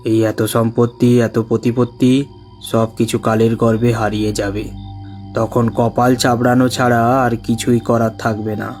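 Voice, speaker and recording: A menacing ascetic guru from a horror cartoon, acted out by a man, booming and roaring with warning. His threats stay on a level, unswerving pitch. Faint music plays behind him. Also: little pitch movement, a little slow, darkish tone, natural voice. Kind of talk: dramatic Bengali horror cartoon story acting.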